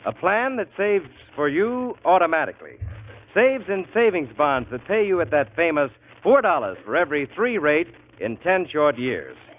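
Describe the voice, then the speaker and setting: A man's voice: fairly high pitch, very animated, unhurried, fairly bright tone. An American man announcing on 1940s radio, reading scripted copy in an emphatic, upbeat way, on an old muffled broadcast.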